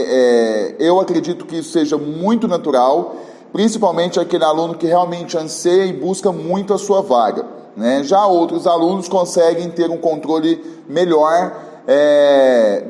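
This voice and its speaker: Brazilian male teacher, slightly high voice. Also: darkish tone, in a large hall. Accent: Brazilian Portuguese. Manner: talkative, with frequent check-in tags. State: recovering from a cold, voice a little worn. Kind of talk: exam-prep video lesson.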